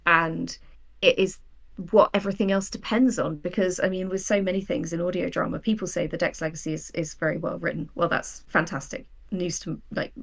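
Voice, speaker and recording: Lower-pitched woman with creaky voice, explaining candidly. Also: steady tone, a little fast. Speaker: guest, audio drama writer. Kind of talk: reflective interview conversation.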